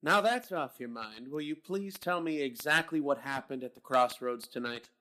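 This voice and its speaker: A man's voice: mid-range voice, normal speed, pushed and bright, natural voice. A man acting a blunt, skeptical police captain in a radio horror drama, talking in a brusque, demanding way. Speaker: voice actor.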